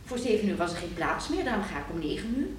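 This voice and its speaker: A woman's voice: fairly low pitch, sing-song, fast, a little hiss or hum. An actress playing a prim, prying, moralising landlady in a radio play, polite but pointed. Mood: chatty, pointed. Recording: roomy, distant from microphone.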